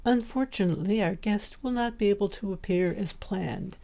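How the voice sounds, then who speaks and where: A woman's voice: mid-range voice, moderate pace, vocal fry, dark tone. A woman speaking as a podcast host, giving an introduction and announcements. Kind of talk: podcast introduction and sponsor read.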